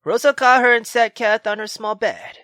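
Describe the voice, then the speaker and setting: A woman hosting a gaming video, reading a visual novel aloud with expressive, casual, sweary commentary. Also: a little high, moderate pace, no echo, clean studio sound. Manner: expressive, swearing, exclamatory asides. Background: faint game music.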